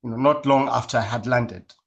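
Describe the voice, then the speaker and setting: A man from Kenya who is a guest in an interview and answers casually in a measured, thoughtful way, with many fillers. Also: mid-range voice, creaky, fairly bright tone, lo-fi sound.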